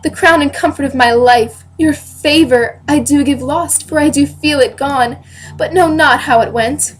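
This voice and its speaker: A young woman playing a wronged, dignified queen who defends her honour in deliberate, declamatory Shakespearean verse. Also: high-pitched. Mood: wounded, defiant. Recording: noisy amateur home recording.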